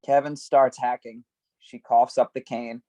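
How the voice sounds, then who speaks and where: A man's voice: mid-range voice, little pitch movement, unhurried, dark tone, dry recording. A man reading stage directions at a script table read, giving scene headings some emphasis.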